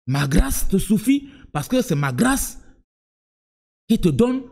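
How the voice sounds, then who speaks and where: Fervent preacher with a rising, sing-song voice. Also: male voice, big pitch swings, takes it slowly, vocal fry, darkish tone. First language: not French. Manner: emphatic, swings from low asides to high sung-out cries; repeats words and restarts, talks to the listener directly. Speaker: apostle preaching. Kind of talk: sermon.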